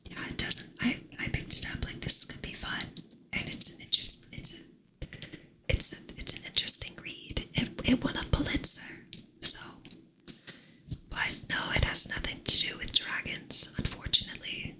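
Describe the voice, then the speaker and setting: A woman whispering softly in a playful way as she chats with her live-stream audience. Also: rough recording. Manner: soft whisper, playful with chat; slow, drawn-out delivery. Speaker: ASMR streamer.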